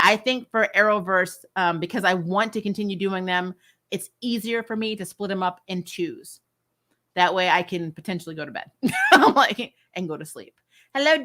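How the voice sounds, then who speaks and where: Bright-voiced woman chatting on a livestream. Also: medium pitch. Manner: animated emphasis, repeats phrases for effect, laughs readily. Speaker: host of a comic and TV review channel. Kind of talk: casual livestream chat, answering viewer questions.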